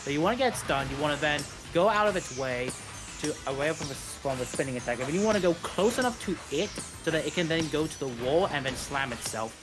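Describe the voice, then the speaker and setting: A man with a slightly high voice commentates a live speedrun. He explains each step in a rambling way, often rewording himself. Music plays behind him. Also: animated, brisk. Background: video game music.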